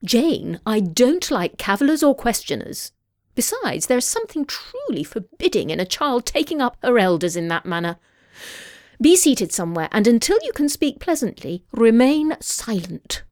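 A woman's voice: fairly high pitch, big pitch swings, normal speed, darkish tone, dry recording, studio quality, voice pushed up. A woman voicing a stern, forbidding older lady from a classic novel, rebuking a child in a cold, commanding, formal manner. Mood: stern reproof. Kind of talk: classic novel character reading.

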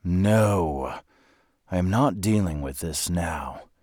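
A man voicing a gloomy, put-upon undertaker in a storybook, moaning out drawn-out complaints. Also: sits low, expressive, darkish tone, dry recording, studio quality, heavily acted. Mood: glum, exasperated.

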